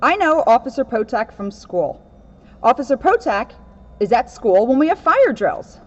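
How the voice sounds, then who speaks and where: A woman reads a children's story aloud as a police officer. Her voice sits a little high and moves with very animated intonation. The recording is slightly dull and lo-fi. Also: moderate pace. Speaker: police officer reading a picture book. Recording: dull, lo-fi sound.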